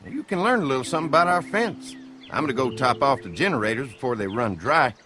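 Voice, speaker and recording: An actor playing a folksy, friendly young farmer with a drawling Southern American accent in a video game. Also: male voice, medium pitch, animated, fast, close to an everyday voice. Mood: helpful.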